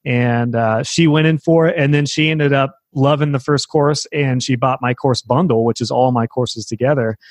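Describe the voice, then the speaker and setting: A man's voice: medium pitch, steady tone, moderate pace, few pauses, dry recording. Man explaining casually, dry close sound. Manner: conversational, explains at length with fillers and restarts. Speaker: web design course creator. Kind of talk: casual podcast conversation.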